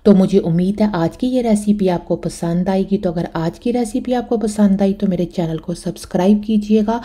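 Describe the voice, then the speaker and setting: A woman's voice: medium pitch, moderate pace, warm tone. A woman with a Pakistani Urdu accent, hosting a cooking channel and explaining a recipe step by step in a chatty voice-over.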